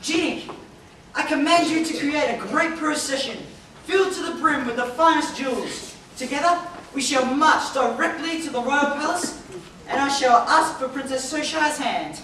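A woman playing an excitable young hero in an amateur stage pantomime, gushing and eager. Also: sits high, moderate pace. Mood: grand. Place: large theatre stage. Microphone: far camera. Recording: echoey hall from far off, loud noise.

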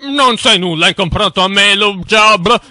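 A man's voice: sits high, moderate pace, sharp tone, no room sound, clean studio sound, pitched above the performer's own voice. A man voicing boastful, greedy rich bankers in a cartoon, in a pompous manner. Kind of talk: exaggerated cartoon acting.

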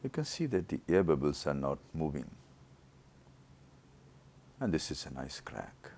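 A man narrating his own eye surgery as a teaching lesson, his voice rough from a throat infection, explaining each step in a measured way. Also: rumbling low, sing-song, a little mellow, a little hiss or hum. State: recovering from a throat infection, voice not normal. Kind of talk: slow surgical teaching narration.

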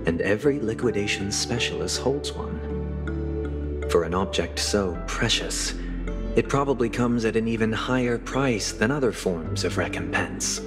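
Low-voiced, coldly polite young nobleman. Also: animated. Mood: polite, probing. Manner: formal, measured, stern courtesy. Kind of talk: video game voice acting. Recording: long reverb, loud music behind. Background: game soundtrack music.

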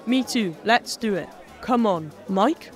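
Easygoing young guest, high voice, music and crowd faint behind. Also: male voice, lively intonation, slow. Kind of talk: audio drama acting.

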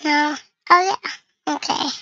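A very bright, high voice run through a voice changer gives casual game commentary. It is creaky and sounds dry and close, chatting to viewers and thinking aloud while playing. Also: steady tone, unhurried, dry recording, dull audio. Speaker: gaming video creator.

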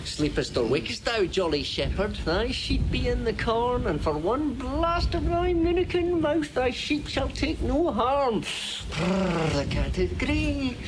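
An actor playing a wild, eerie mad beggar in a Shakespearean audio drama, chanting in singsong. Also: male voice, very high voice, sing-song, takes it slowly, noisy background, exaggerated voice. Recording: loud rain and thunder behind.

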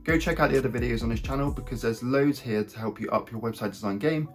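A man in his thirties speaks at a middle pitch, his voice a bit croaky after a cold. He talks upbeat and direct to camera from a small home office, calling his viewers "guys". Faint guitar music plays softly underneath. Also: fast. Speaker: web design channel host. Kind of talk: scripted talking-head tutorial.

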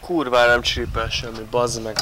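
A man's voice: medium pitch, level tone, noisy background. A man vlogging in casual chat, swearing freely as he goes. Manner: casually foul-mouthed. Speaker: vlogger filming behind the scenes.

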